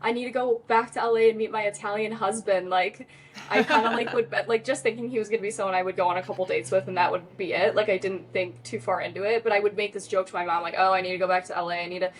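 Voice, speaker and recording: A young woman is a guest on a podcast interview and tells a personal story in a loose, chatty way. She rambles a little and fills her sentences with "like" and "um". Her voice sits at an ordinary middle pitch. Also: steady tone, fast, slight background noise, poor audio. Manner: chatty, rambling, lots of "like" and "um" fillers.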